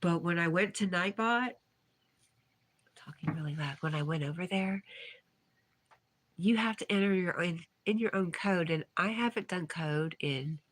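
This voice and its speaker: Woman streamer, lowish voice, casual chat, reads comments aloud and answers them. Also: unhurried, long pauses, a bit bright. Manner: reads viewer comments aloud and replies chattily. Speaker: livestream host.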